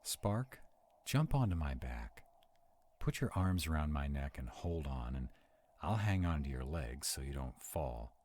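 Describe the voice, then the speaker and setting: A man playing a sarcastic, protective vampire in a roleplay audio drama, wary and quick-witted, talking to unseen companions. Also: a bass voice, very animated, darkish tone, a little hiss or hum, full character voice. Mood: urgent, protective.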